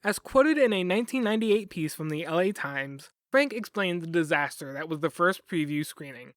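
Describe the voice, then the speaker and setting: A male podcast host narrates a scripted documentary in a high, very animated voice. He slips in wry asides, recorded dry and close with faint music behind him. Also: normal speed, dry recording.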